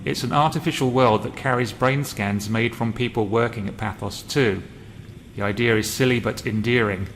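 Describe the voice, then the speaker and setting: A man's voice: mid-range voice, moderate pace. A man narrating a game role play and reading journal entries aloud. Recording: loud background noise.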